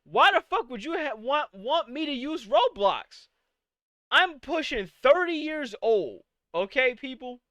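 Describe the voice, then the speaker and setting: High, bright-voiced exasperated young man. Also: big pitch swings, unhurried, no room sound. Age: 20s. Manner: exasperated, profane, rhetorical questions. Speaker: podcast host. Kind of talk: live-streamed casual rant.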